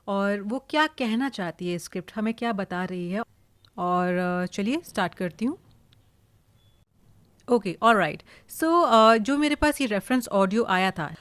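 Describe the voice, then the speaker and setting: A woman with an Indian accent talks through a tutorial in a casual, explanatory way. Her pitch sits in the middle for a woman, and the sound is dry, with no room on it. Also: dry recording. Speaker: voice-over artist giving a tutorial.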